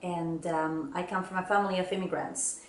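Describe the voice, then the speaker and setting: A woman from Bolivia answering interview questions reflectively, pausing often with hesitant fillers. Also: lowish voice, fairly even intonation, reverberant.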